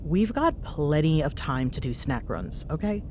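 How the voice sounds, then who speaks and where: A woman's voice: sing-song, unhurried, warm tone. A woman playing a teasing, bossy but fond young ghost hunter in an intimate ASMR roleplay, bantering sarcastically in a casual, murmuring way. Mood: casual, reassuring. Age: young adult. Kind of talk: ASMR audio roleplay, intimate one-sided chat. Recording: clear background noise with low rumble.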